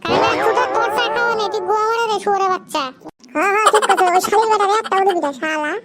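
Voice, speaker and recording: A performer voicing a cheeky, foul-mouthed cartoon schoolboy who scolds his friends in a squeaky, brash, slangy way. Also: rapid-fire, heavy background noise. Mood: indignant, abusive. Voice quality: squeaky, artificially high. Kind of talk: comedy cartoon dialogue.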